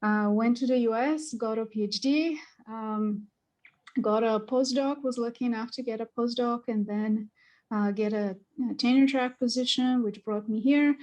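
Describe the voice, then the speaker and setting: A woman from Bulgaria, a mathematics professor telling her story on a panel, halting with many pauses and fillers. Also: fairly high pitch, darkish tone. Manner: halting, with many ums and uhs.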